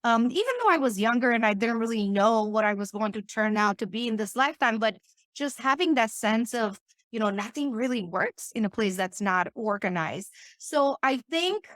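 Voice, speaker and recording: A woman from Romania, a guest on a podcast, talking in an excitable, bubbly way and laughing often. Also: on the high side, normal speed, no echo, clean studio sound. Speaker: podcast guest, business owner. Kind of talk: casual interview talk.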